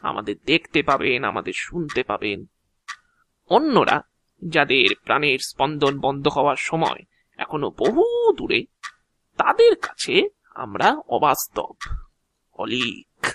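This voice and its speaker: A man playing a courteous, bureaucratic agent of Death in an audio drama, speaking in a measured, theatrical way with deliberate pauses. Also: mid-range voice, huge pitch swings, fairly bright tone, dry recording, studio quality, heavily acted. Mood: solemn, patient. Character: male agent of Death, unhurried, courteous, bureaucratic, faintly amused. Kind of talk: Bengali audio drama acting.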